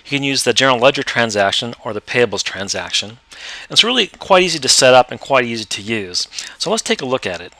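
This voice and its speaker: A man explaining step by step how to use accounting software in a screen-recorded tutorial. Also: medium pitch, sing-song, fairly quick, no room sound. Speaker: software trainer.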